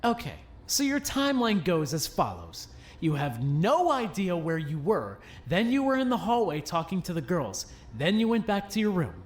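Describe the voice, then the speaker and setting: An actor playing a brisk, exasperated young investigator in an animated mystery game, pressing a partner in a matter-of-fact way. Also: mid-range voice, natural voice.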